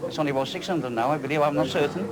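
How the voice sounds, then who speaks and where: A man's voice: on the high side, racing. A man, a local resident, chatting plainly outdoors. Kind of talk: casual outdoor chat. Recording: outdoors with loud crowd noise, distant from mic.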